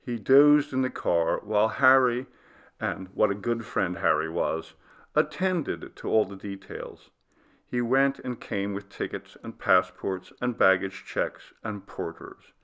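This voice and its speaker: A man narrating a literary ghost story in a measured, deliberate storytelling manner. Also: lowish voice, animated, a bit bright. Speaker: audiobook reader.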